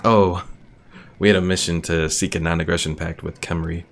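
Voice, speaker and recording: A man's voice: deep voice, flat delivery, normal speed, a little hiss or hum. A man giving casual live gameplay commentary and chatting with viewers, thinking aloud as he goes. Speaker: game streamer playing a strategy game. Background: game music.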